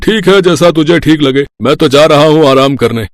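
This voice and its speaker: A man's voice: a little high, normal speed, no echo, studio quality, natural voice. A man voicing a sly, lecherous older father-in-law in a cartoon, with a coaxing, smarmy manner. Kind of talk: cartoon dubbing.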